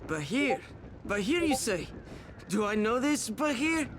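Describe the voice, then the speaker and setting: Very high-pitched, slippery peddler. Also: male voice, big pitch swings, a little slow, fairly bright tone, a little hiss or hum, full character voice. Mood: feigned innocence. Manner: theatrical, wheedling. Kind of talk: video game cutscene dialogue.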